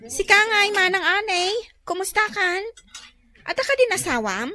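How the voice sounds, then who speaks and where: A woman's voice: very high-pitched, very animated, moderate pace, bright, forceful tone, full character voice. A woman playing a chatty, nosy married woman catching up at a reunion in a comedy radio drama. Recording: noisy crowd behind.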